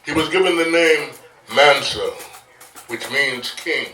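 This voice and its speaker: A man narrating history on stage in a deliberate, emphatic way, like a live recitation. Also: a little high, bright, forceful tone. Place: echoey venue, live stage performance. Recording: echoey, distant mic, faint music.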